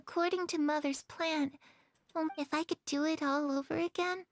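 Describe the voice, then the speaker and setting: A woman acting a very high-voiced, wistful girl. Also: fairly even intonation, fairly quick, natural voice. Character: young girl, sweet, wistful, eager dreamer. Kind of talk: dramatic video game dialogue. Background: music.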